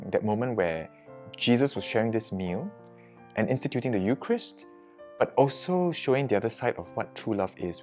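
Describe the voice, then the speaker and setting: A man's voice: on the low side, darkish tone. A man, a friar, speaking in a documentary interview, hesitant with many fillers and restarts.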